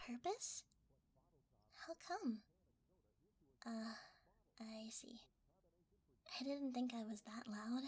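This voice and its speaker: Woman voicing a shy, high, slow-talking cuddly creature. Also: a little mellow. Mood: flustered, gentle. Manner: hesitant, flustered, breathy half-whispering. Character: shy, cuddly shapeshifting mimic creature posing as a blanket. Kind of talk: ASMR roleplay.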